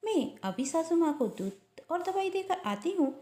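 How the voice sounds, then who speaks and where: A woman's voice: very high-pitched, big pitch swings, moderate pace, dark tone, pitched above the performer's own voice. A woman storyteller playing a sly elder sister-in-law who sounds falsely caring and self-righteous. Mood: sweetly insistent. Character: sly, sweet-talking elder sister-in-law in an Indian household. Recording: roomy echo.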